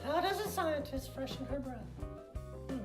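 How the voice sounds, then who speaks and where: Kids' puppet show host: boastful, giggly little girl, very high and swooping, gushing exclamations, echoey room. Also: expressive, normal speed. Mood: pondering, playful. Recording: roomy echo, faint music.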